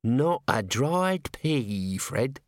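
A man's voice: mid-range voice, sing-song, drawn-out words, no echo, studio quality. A man voicing a scruffy small male corporal who moans and whines. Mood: woeful. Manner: moaning, whining.

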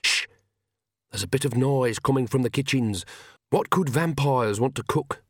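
A man voicing a bold, crafty old witch in an audiobook, brisk, colloquial and commanding, with a sly streak. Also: mid-range voice, breathy edge, dry recording, clean studio sound, natural voice.

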